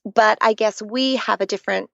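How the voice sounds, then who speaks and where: A woman's voice: mid-range voice, moderate pace, vocal fry, no room sound, dull audio. Middle-aged Canadian woman telling a story. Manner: warm storytelling, earnest and animated. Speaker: guest author. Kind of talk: conversational podcast interview.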